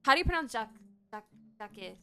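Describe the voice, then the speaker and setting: Excitable high-voiced woman streamer. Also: very fast, faint music behind. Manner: excitable, giggly, chatty. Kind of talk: game reaction commentary.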